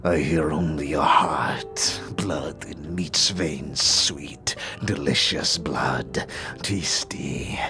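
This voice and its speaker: A man playing a savage, hungry ghoul in an audio drama, hissing menace in broken, drawn-out speech. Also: a bass voice, music in the background, full character voice.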